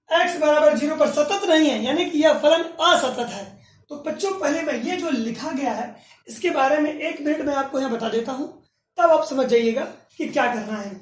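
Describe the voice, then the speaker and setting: A male math teacher giving a classroom lecture, dictating slowly and asking then answering his own questions. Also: very high voice. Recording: roomy echo from a distance.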